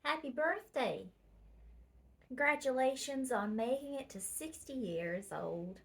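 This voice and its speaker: A young woman giving a birthday video message in a put-on Southern American drawl, pausing with fillers. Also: high voice, lively intonation, vocal fry, fairly bright tone. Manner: hesitant with fillers. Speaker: daughter-in-law.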